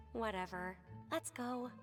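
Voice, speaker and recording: A woman's voice: on the high side, takes it slowly, music in the background. A woman playing a blunt, sarcastic, moody young woman in an anime video game. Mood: annoyed. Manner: sarcastic, dismissive.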